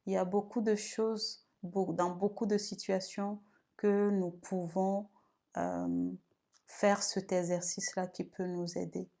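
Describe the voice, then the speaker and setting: A woman's voice: mid-range voice, flat delivery, slow pace, dark tone. A woman giving reflective advice as a video host, hesitating and repeating phrases as she goes. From Togo. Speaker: video host and women's wellbeing guide. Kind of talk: advice vlog talk.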